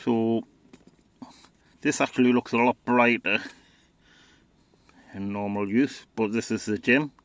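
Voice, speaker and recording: A man's voice: mid-range voice, fairly even intonation, a little slow, pauses often, no room sound. A man informally demonstrating radio equipment in a casual, hesitant, matter-of-fact way. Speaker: radio enthusiast presenting equipment.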